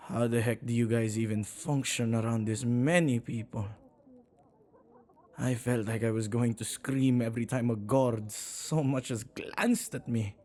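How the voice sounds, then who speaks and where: Man acting a slow-talking, hesitant male witch. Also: mid-range voice, a little mellow, lightly acted. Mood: relieved, flustered. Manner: hesitant, halting, with sighs and murmured asides. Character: flustered, self-neglecting male witch who tends the dead. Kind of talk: ASMR audio roleplay, speaking intimately to the listener.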